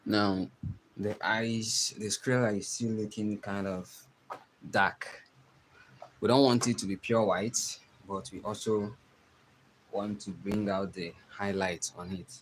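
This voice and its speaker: A young man with a Nigerian accent, giving a live drawing tutorial and talking softly as he works. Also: on the low side, steady tone, a little hiss or hum, poor audio. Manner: slow, soft-spoken, trailing off while drawing. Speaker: art teacher streaming live.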